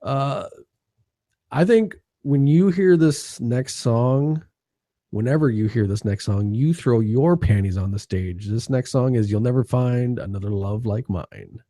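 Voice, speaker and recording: A man's voice: medium pitch, unhurried, warm tone, dry recording, studio quality. A man co-hosting a casual live chat show, quizzing his partner on a song countdown in a laid-back, chummy way.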